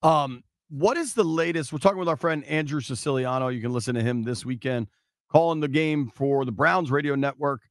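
Male sports talk host, middle-aged, medium pitch, dry studio sound, casual interviewing. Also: a little fast, no room sound. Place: small radio studio. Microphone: studio boom mic with headphones.

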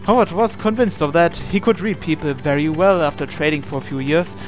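A man's voice: fairly high pitch, fairly quick. A man reading visual novel narration aloud as a gaming channel host, dropping in casual asides. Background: game music.

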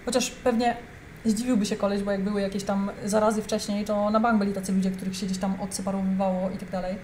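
A woman's voice: medium pitch, level tone, fast, a little mellow, slight background noise. A young adult woman giving heated, emphatic reaction commentary, talking straight to her viewers. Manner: indignant, emphatic, addresses viewers directly.

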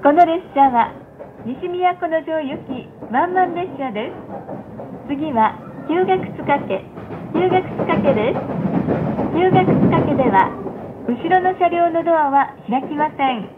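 A woman with a high voice makes a formal, scripted announcement to train passengers. She enunciates politely and carefully. The sound is thin and phone-like, with clear train noise running behind her. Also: expressive, slow. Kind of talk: scripted recorded onboard announcement. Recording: tinny muffled playback, loud train noise. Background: rumbling train carriage.

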